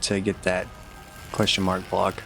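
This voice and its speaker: Gravelly low-voiced man giving game tips. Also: completely flat delivery, a little slow, a bit bright, soft background music. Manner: easygoing, instructive, slightly self-deprecating. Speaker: gameplay guide commentator. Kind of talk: relaxed walkthrough commentary.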